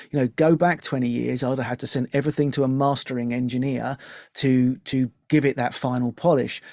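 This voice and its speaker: A man with a mid-pitched voice talks casually as an interview guest. The sound is dry and close, as in a music studio, and he acts out little quotes as he explains. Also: darkish tone, no echo.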